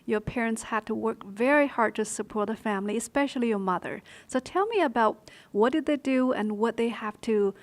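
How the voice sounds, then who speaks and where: A woman's voice: fairly high pitch, a little fast, few pauses. A woman hosting a show, interviewing a guest conversationally. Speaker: interview host.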